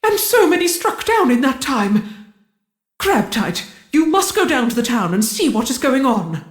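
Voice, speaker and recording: Woman voicing a no-nonsense queen, measured and unhurried, lively rises and falls. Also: high-pitched, echoey room. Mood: commanding. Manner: brisk and commanding, dry. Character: brisk, organized, commanding queen.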